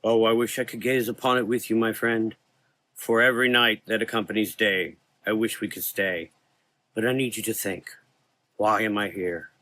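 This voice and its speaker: A man's voice: fairly low pitch, fairly even intonation, normal speed, pushed and bright. Man as a soothing, hypnotic gothic mystic. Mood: earnest, gentle. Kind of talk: gothic horror audio drama acting.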